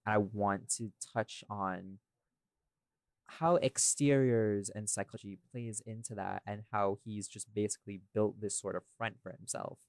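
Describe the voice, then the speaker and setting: A man's voice: lowish voice, steady tone, measured pace, no room sound. A man hosting a casual podcast discussion, rambling and thinking aloud as he goes. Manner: rambling, thinking aloud, often checks with "right?". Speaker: podcast host and audio series creator.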